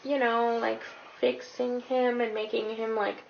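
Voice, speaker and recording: A woman's voice: on the high side, little pitch movement, measured pace, gravelly, a little mellow. A woman in casual, informal conversation as a guest co-reviewer of a film. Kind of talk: casual chat. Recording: dull lo-fi audio with background noise.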